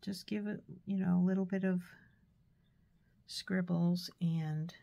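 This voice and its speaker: A woman with a stuffy nose from a cold, giving a relaxed, chatty painting tutorial with fillers and soft asides. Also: on the low side, takes it slowly, vocal fry. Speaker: art tutor.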